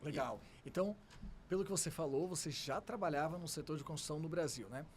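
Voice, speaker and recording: A man's voice: fairly high pitch, fast, slight background noise. A man with a Brazilian accent hosting a podcast, introducing and questioning his guest. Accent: Brazilian Portuguese. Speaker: podcast host, business mentor. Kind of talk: podcast interviewing.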